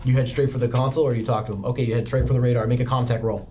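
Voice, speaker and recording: A man running a tabletop roleplaying game as game master, setting scenes in a dramatic, halting way. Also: mid-range voice, fairly even intonation. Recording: background music behind the voice. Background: music.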